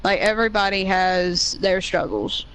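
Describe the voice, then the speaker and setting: A woman's voice: medium pitch, normal speed, fairly bright tone, clean tone, low-quality recording. A young woman with a Southern US accent, chatting earnestly while playing a game with a friend, rambling with many restarts. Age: young adult. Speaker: co-player on a gaming stream.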